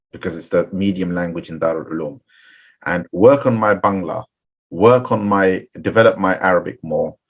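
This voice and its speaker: A man's voice: sits low, husky, lo-fi sound. A middle-aged man, an Islamic scholar, giving a webinar talk of advice to seminary graduates, earnest and full of restarts. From Bengali background, seminary-trained. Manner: earnest, repeats and restarts phrases, asks "right?". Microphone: webinar, computer mic.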